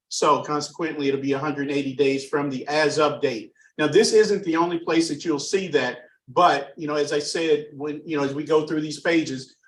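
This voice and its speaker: A slightly hoarse, froggy-voiced man giving an underwriting training presentation, halting with many fillers and restarts. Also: a little high, a bit bright. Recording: echoey room, far from mic.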